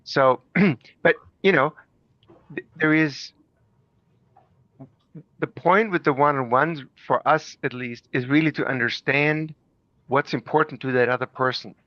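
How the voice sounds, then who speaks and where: A man's voice: mid-range voice, a little slow, long pauses, bright, forceful tone, no room sound, muffled recording. A man from Germany explaining things conversationally through personal anecdotes. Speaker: group call participant.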